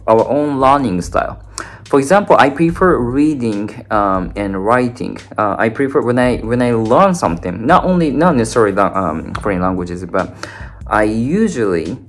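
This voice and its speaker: Japanese-accented man, slightly low voice. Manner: thoughtful, many fillers and self-corrections. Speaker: language learner and video host. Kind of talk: unscripted explainer talk.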